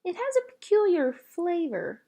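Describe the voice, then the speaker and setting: A woman voicing a withdrawn, gently firm preacher father in a storybook, measured and pensive. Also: extremely high pitch, huge pitch swings, vocal fry, voice pushed up, full character voice. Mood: pensive, sad.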